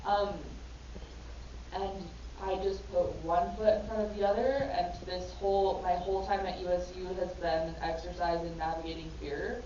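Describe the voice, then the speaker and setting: A woman's voice: fairly low pitch, fairly even intonation, normal speed, dark tone, slight background noise. A woman panelist telling a personal story in an earnest, reflective way. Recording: echoey hall from far off, dull.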